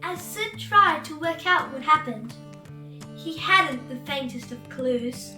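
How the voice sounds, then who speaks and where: Very high, bright woman reading a storybook. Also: animated, recorded from a distance. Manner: theatrical, exclamatory, drawn-out emphasis. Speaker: storytime host and read-aloud narrator for children. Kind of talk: animated children's storybook read-aloud. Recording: roomy echo, off-mic, music bed.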